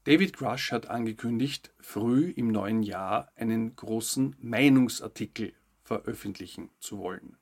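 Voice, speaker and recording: Gravelly man presenting news. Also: fairly low pitch, a little slow, few pauses, a little hiss or hum. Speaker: host of a weekly news update show. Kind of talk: scripted news narration with commentary.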